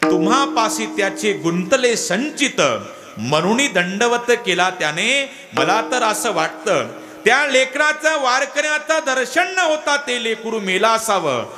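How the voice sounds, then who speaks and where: Preacher acting a high-pitched, pleading saintly father. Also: expressive, measured pace, pushed and bright, soft background music, full character voice. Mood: earnest, reflective. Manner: earnest, pleading, emotional. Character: saintly devout father, grieving yet proud of his son.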